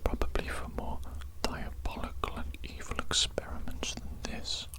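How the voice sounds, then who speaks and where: A woman playing a smug, condescending evil mad doctor in a breathy, whispered ASMR roleplay, deliberately repeating phrases in a mock-soothing manner. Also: close to an everyday voice. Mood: soothing, mock-kind.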